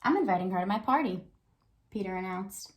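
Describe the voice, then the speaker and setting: A woman speaks as an elementary school teacher leading a read-aloud for young children. Her voice sits at an average pitch for a woman. She talks the way a classroom teacher does, thinking aloud and checking in with small questions. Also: animated, brisk.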